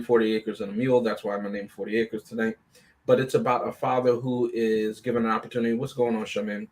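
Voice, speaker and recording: A man on a movie review panel, explaining and discussing a film casually in a measured, deliberate way. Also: lowish voice.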